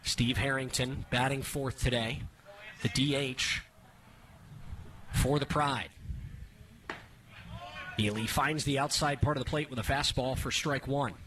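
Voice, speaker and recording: Male radio baseball announcer, bright forward voice, clipped play-by-play delivery that lifts with the action. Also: mid-range voice, measured pace, pauses often. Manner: clipped descriptive phrases, rising excitement on action. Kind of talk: radio sports play-by-play commentary.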